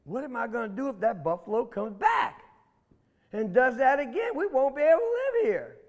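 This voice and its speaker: A man's voice: very high-pitched, big pitch swings, normal speed, lo-fi sound, voice pushed up. A man voicing a feisty, indignant catfish leader in a folktale, exclaiming and scolding in folksy speech to protect his pond. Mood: worried. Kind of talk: oral animal folktale. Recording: echoey hall, music.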